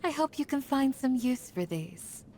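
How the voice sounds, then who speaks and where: A woman's voice: high-pitched, lively intonation, measured pace, darkish tone, a little hiss or hum. An actress playing a poised, courteous and helpful young woman in a video game. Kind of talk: video game character voice lines.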